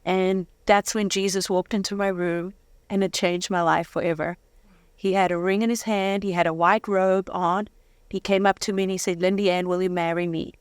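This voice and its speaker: A woman's voice: mid-range voice, little pitch movement, moderate pace, clear, resonant tone, dry recording. A woman telling her personal testimony as an interview guest, with dramatic, candid storytelling and slangy humour.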